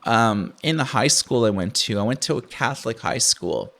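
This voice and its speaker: A man with a Canadian accent hosting a casual, unscripted tech show, talking in a slangy, halting, rambling way. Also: medium pitch, animated, vocal fry, a bit bright. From Ontario, Canada. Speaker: podcast host and product reviewer.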